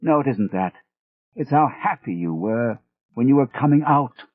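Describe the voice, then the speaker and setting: A man voicing a pompous, indignant older male artist with a British accent, speaking slowly and emphatically. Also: medium pitch, expressive, no room sound, pitched above the performer's own voice, lightly acted. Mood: wounded. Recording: dry, tinny phone-like sound.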